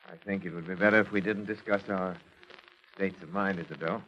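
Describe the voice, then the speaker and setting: An actor playing a coolly possessive psychiatrist husband, formal, measured and ominous, in 1940s radio drama style. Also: male voice, low-pitched, fairly even intonation, sharp tone, slight background noise. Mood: cold, stern. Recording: muffled old radio recording.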